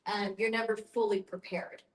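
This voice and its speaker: Woman, mid-pitched, earnest group facilitator, explanatory, repeats key phrases for emphasis, echoey room. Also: level tone, normal speed. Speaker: guest facilitator, end-of-life educator. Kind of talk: guided group discussion with personal anecdotes.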